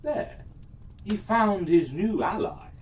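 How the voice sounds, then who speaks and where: A man narrating a scripted children's fairytale as a storyteller, expressive and deliberate. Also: high-pitched. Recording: distant mic with faint background noise.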